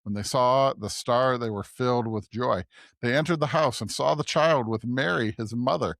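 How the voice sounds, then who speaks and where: A man's voice: medium pitch, moderate pace, gravelly, a bit bright, no echo. A man who co-hosts a podcast, reading a scripture passage aloud. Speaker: podcast co-host.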